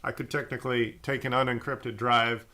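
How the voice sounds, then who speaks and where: A man with a bright, forceful voice of medium pitch gives casual advice as a tech advisor. He explains in a measured, plain-spoken way, in a conversational register. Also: steady tone. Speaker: tech support advisor, host.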